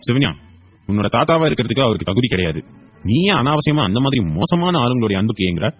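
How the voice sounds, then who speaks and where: A man's voice: medium pitch, very animated, fast, faint music behind. A man playing a protective, affectionate young husband and father in an audio drama, with a reassuring manner. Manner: reassuring, soft-hearted, playful with child. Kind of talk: Tamil audio story acting.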